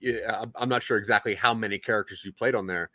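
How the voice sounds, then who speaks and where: A man's voice: on the low side, steady tone, fast talker, sharp tone, dry recording, studio quality. A man hosting an interview, asking long, rambling questions with frequent restarts. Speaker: interviewer host. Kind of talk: casual interview chat.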